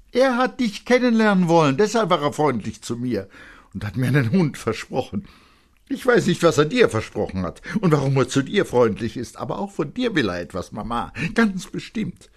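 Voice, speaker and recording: A man acts out a defiant young boy from a dramatic audiobook, pitched well up. The child is agitated and accusing, pressing each phrase with vehement emphasis. The voice is husky and swings widely. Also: big pitch swings, normal speed. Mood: furious accusing. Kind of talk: dramatic literary audiobook acting.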